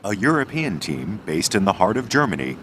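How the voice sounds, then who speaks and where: Man, documentary narrator, low pitch, lively intonation, deliberate scripted delivery. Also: slight background noise. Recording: music under the voice. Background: background music.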